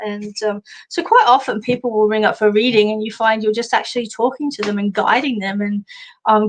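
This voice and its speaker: An Australian woman, a psychic medium and life coach, giving a casual card reading with many fillers and restarts in a slightly husky voice. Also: a little high, rough recording.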